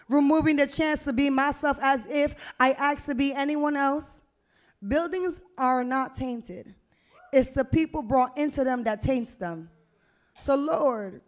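A young woman recites spoken word poetry at an open mic. Her voice sits high for a woman, and she shapes each phrase deliberately, leaning on key words with a rhythmic emphasis. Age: young adult. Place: small indoor venue stage with brick wall. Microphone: handheld-type vocal mic on a stand, close to the mouth.

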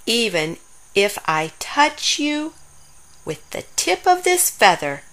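A woman's voice: a little high, very animated, takes it slowly, a bit bright, heavily acted. A woman voicing a young, itchy, pleading triceratops from a children's storybook in a plaintive way.

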